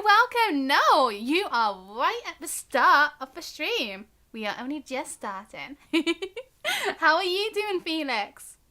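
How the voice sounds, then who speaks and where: High, bright-voiced woman streaming games. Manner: bubbly, chatty, rambling, greets viewers by name. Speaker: livestream host. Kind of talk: casual livestream chat while gaming.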